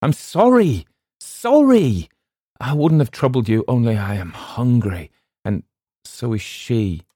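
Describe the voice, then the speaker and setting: Man acting storybook castaway, male, husky, hopeless, formal and halting, raised pitch, dry sound. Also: darkish tone, no room sound, close to an everyday voice. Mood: hopeless, apologetic. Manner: halting, pleading, old-fashioned speech. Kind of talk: storybook character voice.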